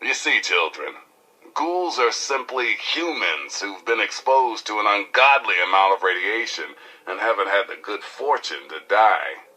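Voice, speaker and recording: A man's voice: on the low side, animated, bright, forceful tone, heavily acted, low-quality recording. A male actor playing a flamboyant, irreverent radio DJ in a post-apocalyptic game, with an American accent, a gritty voice and theatrical, wisecracking patter. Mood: storytelling, wry. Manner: theatrical, wisecracking, folksy, drawn-out and punchy. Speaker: radio DJ and newsreader. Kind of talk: showy radio DJ patter and mock newscast.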